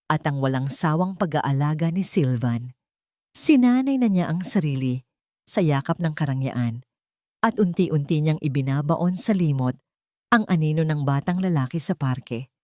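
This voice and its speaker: A Filipino woman delivers dramatic scripted story narration. Her voice sits on the low side, and the recording is dry and close, with little room sound. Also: darkish tone, no room sound.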